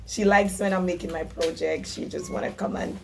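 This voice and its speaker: A woman from Jamaica, hoarse, chatting casually through a DIY craft tutorial and explaining each step. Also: lowish voice, steady tone, fairly quick, slight background noise. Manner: chatty and instructive, apologetic about her voice.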